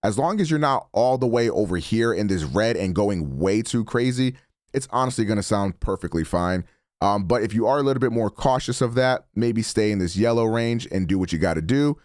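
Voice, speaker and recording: A man with a deep voice casually walking through his microphone settings as a streamer, relaxed and chatty. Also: a little fast, no room sound, studio quality. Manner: relaxed, chatty, self-correcting. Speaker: streamer explaining mic settings. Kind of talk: casual tutorial walkthrough. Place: home streaming setup. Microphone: studio broadcast mic.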